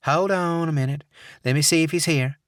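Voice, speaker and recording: Audiobook: male narrator voicing a saccharine Southern lady receptionist in her fifties, sitting high in his range, dry read. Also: brisk, no room sound, lightly acted. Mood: sugary. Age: 50s. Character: sweet chatty middle-aged Southern receptionist woman.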